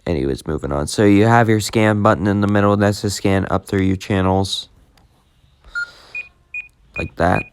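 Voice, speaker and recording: A man giving a casual, unscripted tech demo in a halting, repetitive way that tends to trail off. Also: on the low side. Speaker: video host demonstrating a walkie-talkie app.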